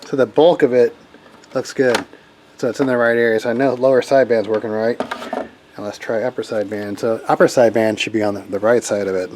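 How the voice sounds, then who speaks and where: A man's voice: mid-range voice, fairly even intonation, fairly quick, a little mellow, slight background noise. A man giving a casual hands-on tech demo as a hobbyist, talking informally and rambling as he thinks aloud. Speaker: hobbyist radio operator demonstrating equipment.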